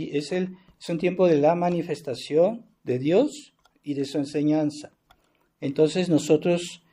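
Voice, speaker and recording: A man's voice: a little high, warm tone. A man, a priest giving a sermon in an earnest, insistent way, often adding rhetorical tag questions. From Mexico. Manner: earnest and insistent, with frequent rhetorical "¿verdad?" tags and restarts.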